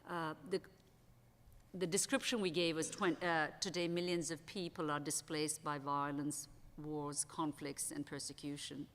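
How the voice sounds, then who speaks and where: Low-voiced woman chairing a panel. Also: flat delivery, unhurried, fairly bright tone. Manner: conversational, with frequent restarts and "uh"s. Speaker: session chair. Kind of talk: panel moderation at an academic conference. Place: large auditorium stage at a panel table. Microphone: table microphone. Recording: long reverb of a large hall.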